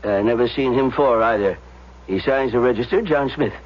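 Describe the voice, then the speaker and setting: An actor playing a shifty working-class motel manager, husky and evasive, with ungrammatical street talk, in 1970s radio drama style. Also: male voice, mid-range voice, a little fast, close to an everyday voice. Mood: puzzled, hesitant. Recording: dull vintage radio sound.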